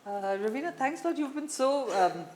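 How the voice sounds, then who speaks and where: Indian woman interviewer, lively swooping pitch. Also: brisk, a little hiss or hum. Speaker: interview host. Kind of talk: conversational celebrity interview.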